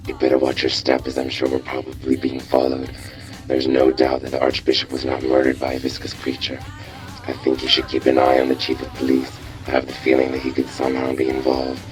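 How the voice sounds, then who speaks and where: An actor voicing a grave, secretive robot informant in a video game, cautious and conspiratorial. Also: male voice, extremely low pitch, low-quality recording. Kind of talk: video game cutscene acting.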